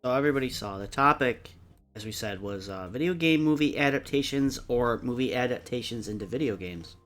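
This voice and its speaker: A man's voice: medium pitch, lively intonation, brisk, a bit bright, soft background music. A man chatting casually as co-host of a livestreamed talk show.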